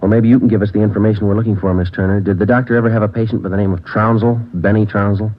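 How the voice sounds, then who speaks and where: An actor playing a terse, deadpan police sergeant, narrating and questioning in a 1940s radio drama. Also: male voice, fairly low pitch, racing, close to an everyday voice. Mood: businesslike. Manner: deadpan, clipped. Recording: dull, noisy 1940s radio recording.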